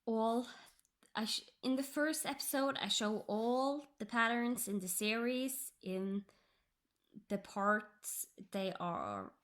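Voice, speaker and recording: A Norwegian woman, English her second language, chatting softly about her cross-stitch project and often dropping into a whisper. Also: fairly high pitch, expressive. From Norway. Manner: slow, soft-spoken, drifting into whispers. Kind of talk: casual tutorial chat.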